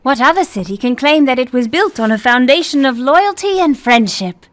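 An actress playing an upbeat young woman assistant in an animated game. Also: high voice, normal speed. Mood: pleased.

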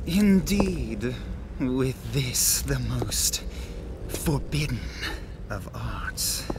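A man's voice: medium pitch, big pitch swings, extremely slow, noisy background. An actor playing a sadistic, gloating male villain in a fantasy game, mocking and theatrical, drawing out his words. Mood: sinister, boastful. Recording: music behind the voice.

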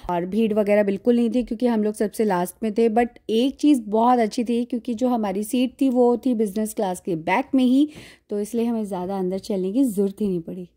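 A woman's voice: medium pitch, fairly quick, no room sound, studio quality. A woman in her forties vlogging casually to a phone held at arm's length, chatting about her food and seats on a flight. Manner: chatty, describing food and seats as she films. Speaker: travel vlogger. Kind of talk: casual vlog chat to camera. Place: airport lounge and airliner cabin. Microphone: phone camera at arm's length. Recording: loud aircraft cabin noise. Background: airplane engine and cabin hum.

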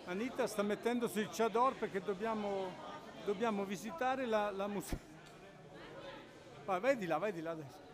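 A man filming a casual travel vlog on a handheld action camera, chatting and slowly repeating words to locals in an outdoor bazaar and mosque courtyard. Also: sits high. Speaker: travel vlogger. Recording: busy crowd noise outdoors.

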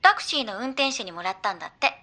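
An excitable young female idol in an anime audio drama. Her high, bright voice moves freely up and down. She speaks in girlish slang, reacting to whatever her friend says. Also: rapid-fire, exaggerated voice. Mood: cheerful. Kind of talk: Japanese anime audio drama, naturalistic banter.

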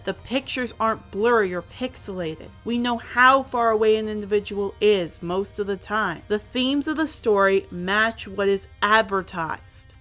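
A woman's voice: mid-range voice, fairly bright tone. A woman hosting a scripted review, speaking emphatically and stretching out key words. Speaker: reviewer, show host. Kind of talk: scripted review commentary over animation. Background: music.

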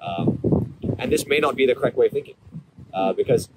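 A man's voice: mid-range voice, expressive, brisk. A man in his twenties from Thailand, a guest in a casual outdoor conversation, explaining his views earnestly with frequent ums. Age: 20s. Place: outdoors under trees. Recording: loud outdoor ambient noise.

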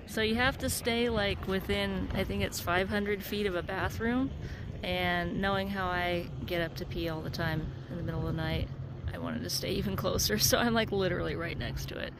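A woman's voice: lowish voice, fairly even intonation, moderate pace. A middle-aged woman chatting casually and cheerily to a phone held at arm's length, in an informal vlog style. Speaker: vlogger. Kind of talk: casual vlog chat to camera. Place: parked car and open desert outdoors. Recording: noisy outdoor phone video, ambient vehicle noise.

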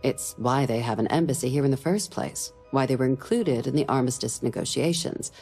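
Lady official briefing an agent in a video game, really low warm voice, measured n dry, faint music behind. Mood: measured, wry. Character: composed, dryly wry woman diplomatic official. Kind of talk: scripted game dialogue, mission briefing.

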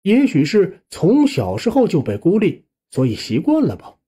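Expressive male audiobook narrator with swooping pitch. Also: moderate pace, husky. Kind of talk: scripted first-person story narration.

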